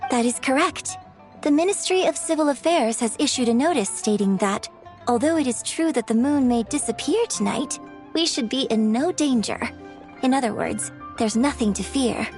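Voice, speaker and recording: A gracious young performer from a video game speaks in a high, expressive voice. She is composed, polite and patient, with faint music behind. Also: moderate pace. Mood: explanatory.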